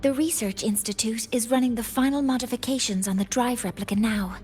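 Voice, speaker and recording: A woman's voice: fairly high pitch, brisk, a little mellow, natural voice. A woman playing an earnest young scientist in a video game, speaking formally and precisely as she explains things. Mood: earnest, solemn. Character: earnest young female scientist, briefing her mentor. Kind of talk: scripted game dialogue. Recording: music behind the voice.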